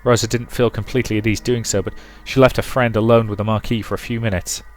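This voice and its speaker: A man's voice: lowish voice, level tone, fast talker, soft background music. A man reading a visual novel aloud as dramatic storybook narration for a let's play.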